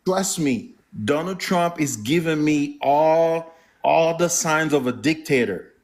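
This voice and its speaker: A man from the Congo whose first language is French, speaking with a Congolese French accent as a caller making his points in an earnest, measured, orderly way. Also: fairly high pitch, lively intonation. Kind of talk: earnest call-in opinion.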